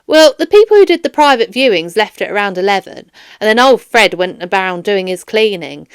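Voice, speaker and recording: A woman's voice: medium pitch, big pitch swings, brisk, smooth, dry recording, studio quality, pitched below the performer's own voice. A woman voicing a flustered, defensive male security guard in a children's audiobook, pleading and protesting emphatically. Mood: nervous. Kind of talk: children's audiobook character dialogue.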